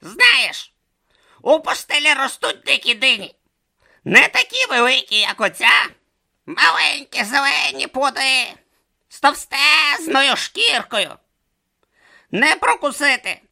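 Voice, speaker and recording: A man's voice: very high voice, big pitch swings, takes it slowly, vocal fry, long pauses, sharp tone, voice pushed up, full character voice. A man voicing a bossy, grumpy male god trapped as a tortoise, barking impatient orders.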